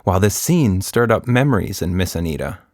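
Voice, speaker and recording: A man's voice: low voice, sing-song, brisk, a little mellow, no room sound, studio quality. A man narrating a scripted children's story as a podcast storyteller.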